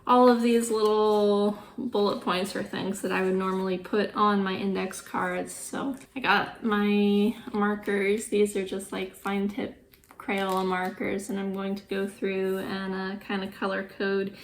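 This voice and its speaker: A woman's voice: mid-range voice, level tone, measured pace, slight background noise. A woman in her thirties vlogging casually about her writing, sick and congested, with a voice that is going, rambling and thinking aloud. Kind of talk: casual vlog chat.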